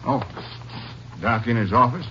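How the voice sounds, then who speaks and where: An actor playing an outwardly doting, anxious husband with something to hide in a radio crime drama, hesitant and coaxing. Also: male voice, mid-range voice, unhurried, lightly acted. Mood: agitated, impatient. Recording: muffled, noisy old radio broadcast.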